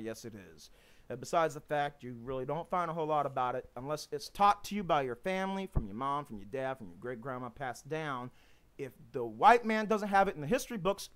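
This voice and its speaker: A man with a New York accent talks emphatically and at length into his camera. His voice sits a little high and swings widely in pitch as he makes his points. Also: fairly bright tone. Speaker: vlogger. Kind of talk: casual home video rant.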